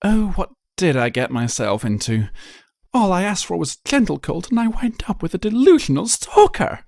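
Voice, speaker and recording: A man's voice: high voice, big pitch swings, normal speed, gravelly, a little mellow, dry recording, clean studio sound, pitched above the performer's own voice, full character voice. A man voicing a refined, theatrical and fretful young female unicorn fashion designer in a storybook reading. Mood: exasperated worry. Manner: theatrical, genteel, fussy.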